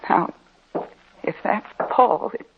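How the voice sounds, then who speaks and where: A woman's voice: slow pace, lightly acted. A woman playing an anxious, jumpy young wife in an old radio horror drama, with theatrical alarm. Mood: nervous. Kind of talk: 1940s radio horror acting. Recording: noisy, tinny old radio recording with clicks.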